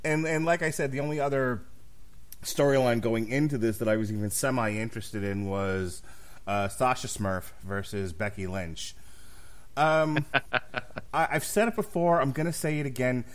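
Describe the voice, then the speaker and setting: A man's voice: medium pitch, normal speed. A man hosting a casual podcast, speaking bluntly and profanely with strong opinions. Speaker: podcast host.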